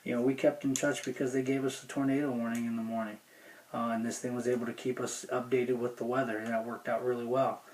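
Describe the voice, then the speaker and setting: A man's voice: mid-range voice, flat delivery, fast, darkish tone, slight background noise, rough recording. A man giving a casual, unscripted product review in a plainspoken, folksy, rambling way. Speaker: gear review video host.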